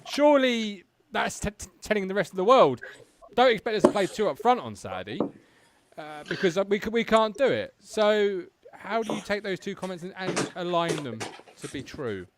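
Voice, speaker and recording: A man hosting a fan football chat show, asking energetic questions. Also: high-pitched, normal speed, noisy background. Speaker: host.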